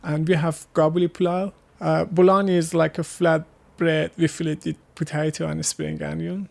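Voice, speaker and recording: A man from Afghanistan with an Afghan accent and Hazaragi as his first language, answering casually in halting, searching English. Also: on the high side, little pitch movement, gravelly, a little mellow. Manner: halting, searching for words in non-native English. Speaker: interviewee. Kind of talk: casual interview conversation.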